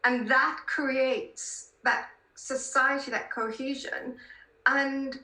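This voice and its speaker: A woman's voice: sits high, fairly even intonation, slow, sharp tone. A woman in her fifties with a South African accent, speaking emphatically and effusively as an interview guest. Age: 50s. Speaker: podcast guest, political columnist. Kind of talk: conversational interview answers. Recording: roomy, echoing sound.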